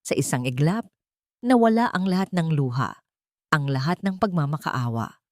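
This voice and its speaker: Female Filipino narrator for scripted romance drama, lower register, very dry studio-like sound. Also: lively intonation, moderate pace, dry recording. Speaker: story narrator.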